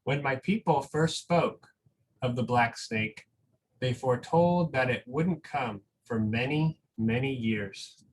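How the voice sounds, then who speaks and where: A man's voice: mid-range voice, slow pace, slightly rough, distant mic, low-quality recording. Man reading as solemn young storybook girl narrator. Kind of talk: picture book read-aloud.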